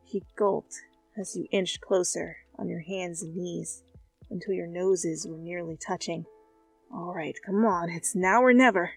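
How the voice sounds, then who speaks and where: A woman's voice: fairly low pitch, fairly even intonation, unhurried, gravelly, husky. A young woman reading fanfiction as ASMR, casual, with laughing, swearing asides. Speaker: fanfic reader. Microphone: close studio mic. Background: soft music.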